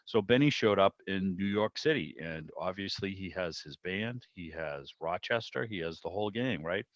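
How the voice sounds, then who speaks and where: A man's voice: low-pitched, lively intonation, normal speed. A man chatting casually and ramblingly as host of an online club meeting, thinking aloud as he goes. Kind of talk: casual enthusiastic chat about old radio. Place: indoors on a video call. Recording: muffled, roomy video-call audio.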